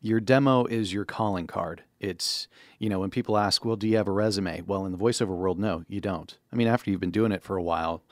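A man's voice: deep voice, brisk, dry recording. A man co-hosting a podcast, explaining things in an informal, conversational way into a close studio microphone. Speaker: podcast co-host. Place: small indoor podcast studio. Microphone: close boom-arm studio microphone.

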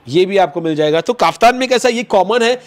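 A man in his thirties hosting a vlog, pitching clothes to viewers in quick, persuasive patter, recorded on a lapel mic in an indoor shop. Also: lively intonation. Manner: fast, persuasive salesman patter addressing viewers. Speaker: vlogger host. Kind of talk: promotional market vlog.

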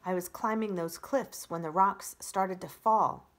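A woman voicing a calm, polite female robot in a storybook, speaking in measured, formal phrases. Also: mid-range voice, close to an everyday voice. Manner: measured, plain, formal without contractions.

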